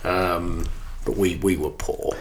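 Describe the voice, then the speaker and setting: A man co-hosting a casual podcast, speaking in a lowish voice that is slightly hoarse. He coaches football and chats and teases easily. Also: fairly even intonation, slow. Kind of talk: casual chat.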